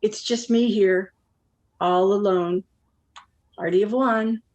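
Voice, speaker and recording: A woman's voice: medium pitch, fairly even intonation, slow, a little mellow, lightly acted. Blunt, prickly middle-aged woman, acted by a woman. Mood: wry, lonely. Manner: blunt, probing, wry. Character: blunt, prickly, lonely 49-year-old unmarried woman out fishing. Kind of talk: naturalistic one-act play dialogue.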